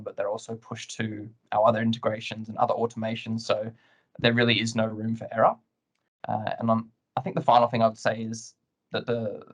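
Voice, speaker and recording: Male corporate guest for webinar interview: low register, little pitch movement, measured and explanatory. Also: a bit bright. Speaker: client guest, compliance lead.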